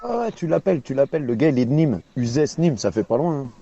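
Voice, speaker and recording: A man's voice: medium pitch, very animated, fast talker, mellow. A man on a CB radio channel, taunting another user and laughing at his own jokes. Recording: dull lo-fi CB radio with hiss.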